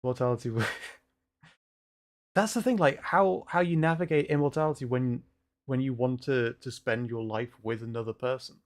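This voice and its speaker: A man hosting a casual livestream chat, speaking haltingly with repeated words and frequent chuckles. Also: medium pitch. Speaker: livestream host.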